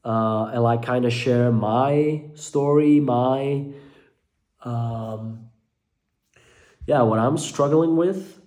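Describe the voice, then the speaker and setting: A man's voice: medium pitch, very slow, long pauses, darkish tone. A young man from Belgium, a native Dutch speaker with a Belgian Dutch accent, talking to camera about mental health from his living room, hesitant and full of fillers and restarts. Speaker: mental health content creator. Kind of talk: casual talk to camera.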